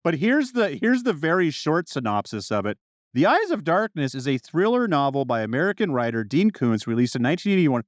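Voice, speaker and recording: A male podcast host with a fairly high voice talks casually. His pitch moves around a lot, and the sound is dry with little room to it. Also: very animated, fairly quick, dry recording. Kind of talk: casual chat.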